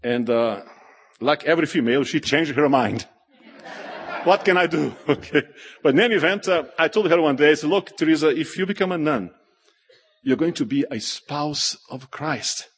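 A middle-aged man whose first language is Portuguese gives a public talk as an animated, joking storyteller. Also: fairly high pitch, moderate pace, slightly rough. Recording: long hall reverb.

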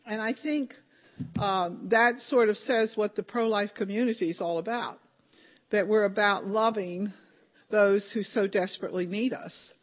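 An older woman giving a talk at a conference, her voice slightly hoarse and deeper than usual for a woman. She speaks at an ordinary pitch, hesitant and informal, pausing often with ums and starting sentences over. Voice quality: deep for a woman. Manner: hesitant, many ums and restarts.

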